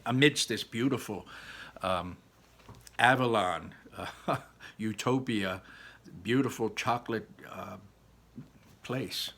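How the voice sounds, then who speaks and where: A man informally recapping and narrating a children's story as a read-aloud host, halting and repeating words for emphasis. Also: lowish voice, a bit bright.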